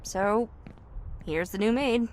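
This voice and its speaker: An actress playing a timid, wistful young maid in a video game, speaking in a cautious, gently teasing way. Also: female voice, medium pitch, slow pace, slight background noise, natural voice. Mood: wistful, curious. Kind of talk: video game cutscene acting.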